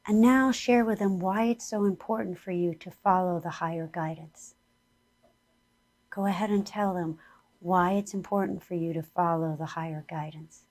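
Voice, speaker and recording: A woman with a hoarse voice leading a gentle, earnest guided spiritual exercise as a spiritual teacher. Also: on the low side, steady tone, rough recording. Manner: slow, gentle and soothing guidance; earnest, speaks about Spirit and angels.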